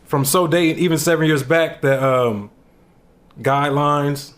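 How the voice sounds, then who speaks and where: A man's voice: fairly high pitch, a little slow, fairly bright tone. A thirty-year-old man from Ohio hosting a casual podcast chat, laid-back and slangy, talking into a desk mic in a small studio. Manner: laid-back, slangy, hypes up his guest. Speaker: podcast host. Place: small studio with backdrop, indoors. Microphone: desk mic on boom arm.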